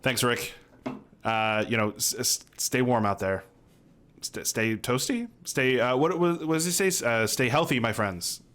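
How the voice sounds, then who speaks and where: Thirties guy bantering on a podcast, teasing, medium pitch voice. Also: a little slow, fairly bright tone, a little hiss or hum. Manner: joking, teasing. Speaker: podcast co-host. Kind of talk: casual comedic banter. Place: small home room, video call.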